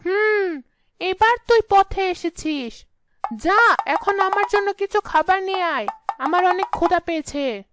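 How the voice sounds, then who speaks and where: A woman voicing a fierce, bossy witch in a young wife's body, with a scolding, imperious manner, in an animated fairy tale. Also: very high-pitched, measured pace, background noise. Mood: smug, commanding.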